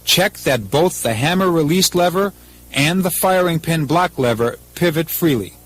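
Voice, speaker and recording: A man's voice: fairly high pitch, animated, normal speed. A man with an American accent reading scripted instructions as a 1980s military training film narrator, in a formal, methodical, impersonal manner.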